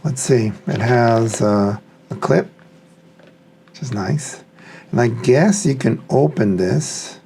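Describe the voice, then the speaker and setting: A man's voice: medium pitch, slow pace, creaky, pauses often, dark tone, slight background noise. A man thinking aloud in a casual, unscripted, tentative way as he reviews a product. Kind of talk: casual unscripted unboxing commentary.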